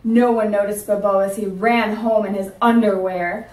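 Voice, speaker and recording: A woman teacher reading a picture book aloud in a clear, measured storytelling manner. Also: mid-range voice, fairly even intonation, darkish tone, a little hiss or hum. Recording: roomy sound from a distance.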